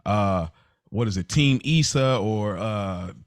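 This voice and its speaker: A man's voice: on the low side, expressive, slow pace, dry recording. A man co-hosting a podcast in casual chat, speaking informally with slang and an appreciative manner. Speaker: podcast co-host and producer.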